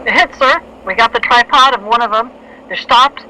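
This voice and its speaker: A woman playing an anxious army lookout in a radio drama, reporting loudly and urgently to a commander. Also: fairly high pitch, moderate pace, close to an everyday voice. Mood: excited, urgent. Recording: noisy radio play battle ambience.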